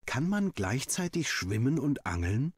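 Man playing a cheeky, chirpy schoolboy, pitched up. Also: very animated, measured pace, breathy edge, no echo, studio quality. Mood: silly, prying. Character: cheeky schoolboy pestering with silly questions.

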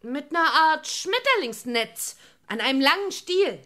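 A woman's voice: high-pitched, animated, measured pace, bright, forceful tone, heavily acted. An actress playing a cheeky, confident young witch girl in a children's audio drama, with a perky manner. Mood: cheeky, keen.

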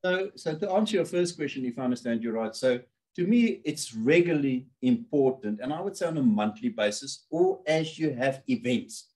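A man's voice: medium pitch, moderate pace, hardly any pauses. A middle-aged man from South Africa whose first language is Afrikaans, talking animatedly with his hands as a guest on a video call interview from his home office. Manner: animated, gesturing with both hands. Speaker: guest financial planner. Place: home office with framed pictures.

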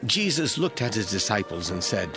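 A man narrating a scripture audio drama from a script in a deliberate storytelling manner. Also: lowish voice, big pitch swings, crowd noise. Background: faint music.

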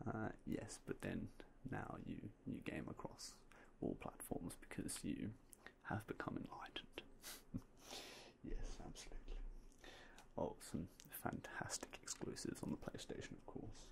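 A young man softly whispering in a polite ASMR roleplay as a game store clerk, close to a binaural mic. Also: sits low, big pitch swings, measured pace, vocal fry. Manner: soft, polite, mostly unvoiced whispering.

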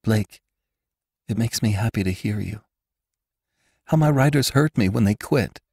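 A man's voice: on the low side, animated, measured pace, creaky, darkish tone, breathy edge, no room sound, studio quality, pitched above the performer's own voice, heavily acted. A man reading the lines of a troubled, wealthy rancher woman in an earnest, pleading way.

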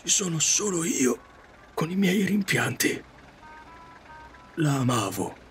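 Grieving widower cabbie, acted by a man, slightly high male voice, halting confession, self-reproach, audio drama, music behind. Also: sing-song, a bit bright, full character voice. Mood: melancholy, regretful. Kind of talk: Italian audio drama monologue.

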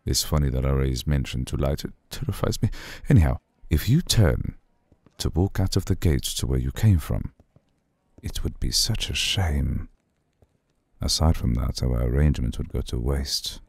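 Man voicing an extremely deep, gravelly old vampire lord. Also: lively intonation, darkish tone, dry recording, studio quality, heavily acted. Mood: soothing, seductive. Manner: formal, unhurried, seductive. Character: suave, centuries-old male vampire lord, courteous, cool, possessive. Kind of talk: ASMR roleplay.

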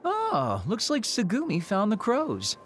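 A man's voice: high voice, huge pitch swings, a little slow, faint music behind, heavily acted. A man playing a breezy, teasing soldier in an anime game, casual, flippant and playful. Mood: chatty.

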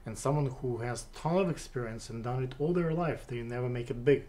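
Halting Russian-accented male interviewer. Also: mid-range voice, warm tone. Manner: halting, many "uh" fillers and restarts. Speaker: interview host. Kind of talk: casual interview questions. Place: studio with city backdrop, seated on sofa.

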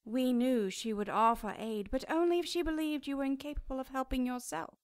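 High-voiced, imperious cherub played by a woman. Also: no echo, studio quality, natural voice. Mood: cold, detached. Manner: formal, stately, measured phrasing. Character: cherub, powerful angel, cold, haughty and imperious. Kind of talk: radio drama acting.

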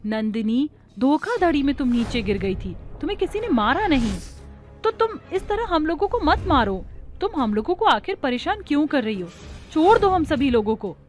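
A woman's voice: high voice, big pitch swings, moderate pace, faint music behind, pitched above the performer's own voice. A woman voicing a distressed, earnest young village woman pleading in cartoon dialogue.

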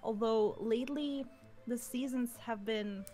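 A young woman with a mixed Belgian and Lithuanian accent, a Lithuanian native, speaking softly and a little hesitantly as a guest in a casual stream interview. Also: on the high side, unhurried.

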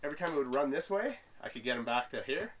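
A man excitedly telling his fishing buddy about hooking a big fish, acting out the fight with sound effects. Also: mid-range voice, quick pace, far from the mic, over a noisy crowd, low-quality recording. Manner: animated storytelling with sound effects. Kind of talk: excited casual banter. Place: inside an ice fishing shelter on a frozen lake.

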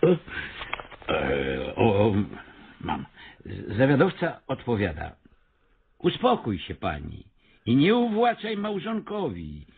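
An actor playing a pompous, persuasive avant-garde theatre director in a Polish radio comedy, with a grandiose, coaxing manner. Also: male voice, medium pitch, wildly swooping pitch, takes it slowly, long pauses, breathy edge, narrow, tinny audio, heavily acted. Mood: self-important reading.

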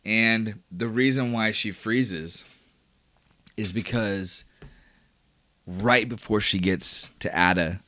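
A man with a very low voice narrates a tabletop game as its game master. He paints vivid scenes and leans on casual fillers as he goes. The recording is dry and close. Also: slow pace, long pauses, pushed and bright, dry recording.

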